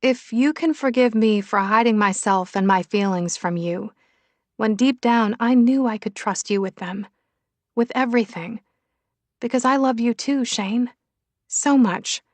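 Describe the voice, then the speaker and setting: A woman's voice: on the high side, a little slow, no room sound, voice pushed up, natural voice. A woman voicing a young pregnant wife in an audiobook, sardonic but heartfelt and emotional. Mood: emotional, loving.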